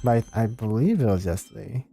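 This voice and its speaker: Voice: mid-range voice, lively intonation, fairly quick, gravelly, warm tone, breathy edge. A streamer chatting casually with viewers and commenting on a game in an easygoing, apologetic way. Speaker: livestreamer. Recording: game music behind the voice. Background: video game music.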